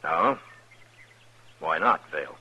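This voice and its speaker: A very low, forceful male voice as a stern frontier marshal in a radio western. He speaks tersely and watchfully, with no fuss. The old recording is muffled and noisy. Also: animated, slow, breathy edge, heavily acted. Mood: guarded. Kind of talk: 1950s radio western acting.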